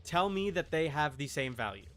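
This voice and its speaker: Bright, forceful male game streamer. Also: on the high side, animated, moderate pace, faint music behind. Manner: opinionated, emphatic, argumentative. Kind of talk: casual livestream chat while playing a video game.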